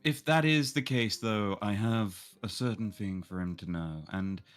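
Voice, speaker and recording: A man's voice: lowish voice, measured pace, natural voice. A man voicing a pompous, stately male emperor with a formal delivery while reading a visual novel aloud.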